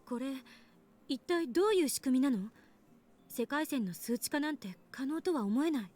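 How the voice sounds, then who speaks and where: A woman playing a sharp, critical young female scientist in a visual novel game. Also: high-pitched, lively intonation. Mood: skeptical. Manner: brisk, critical. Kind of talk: Japanese visual novel voice acting.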